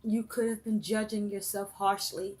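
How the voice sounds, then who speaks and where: A woman giving a tarot reading for her viewers, listing phrase after phrase in a slow, measured way. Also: medium pitch, little pitch movement, darkish tone, poor audio. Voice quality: voice rough and breaking, coughing. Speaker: tarot reader.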